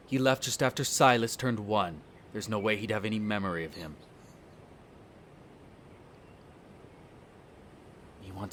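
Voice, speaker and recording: A man playing a smug, bitter vampire noble, the eldest son, in an audio roleplay, speaking in a haughty, sardonic way with drawn-out theatrical phrasing. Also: fairly low pitch, slight background noise, lightly acted. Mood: irritated, curt. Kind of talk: scripted audio roleplay acting addressed to the listener.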